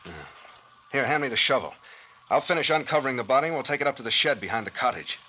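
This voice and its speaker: Commanding, bright-voiced American captain. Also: male voice, mid-range voice, expressive, fast talker. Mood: grim, matter-of-fact. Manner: matter-of-fact, commanding. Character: cool, commanding male police-style captain, the mayor's son. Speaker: radio actor. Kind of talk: old-time radio mystery acting. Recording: faintly noisy old-time radio recording.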